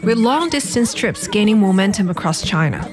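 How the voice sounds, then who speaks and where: A woman's voice: medium pitch, normal speed. Lady reporter reading a news package, music playing under her. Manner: crisp, even reporting. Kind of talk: scripted news voice-over. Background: music bed.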